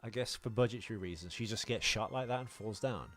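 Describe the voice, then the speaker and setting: A man's voice: fairly low pitch, quick pace, fairly bright tone, faint music behind. A man co-hosting a film podcast in a casual, jokey, rambling way. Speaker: podcast co-host.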